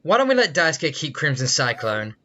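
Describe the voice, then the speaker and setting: A woman's voice: low voice, big pitch swings, brisk, a bit bright. A giggly woman playing a game, casually reacting and reading dialogue aloud. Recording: dull lo-fi mic, game music.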